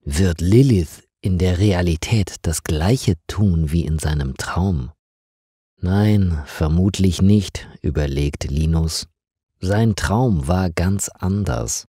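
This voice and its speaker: A man reading a mystery story for language learners in a deliberate, carefully phrased scripted narration. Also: deep voice, lively intonation, dark tone, slightly rough, dry recording, clean studio sound.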